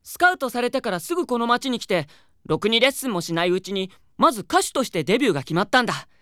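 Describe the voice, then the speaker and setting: A woman's voice: a little high, sing-song, fast talker, a bit bright, dry recording, clean studio sound. A woman voicing a proud, haughty boy who dreams of being an idol, in a Japanese audio drama with curt boyish speech. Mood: proud, bitter. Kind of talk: Japanese anime-style audio drama acting.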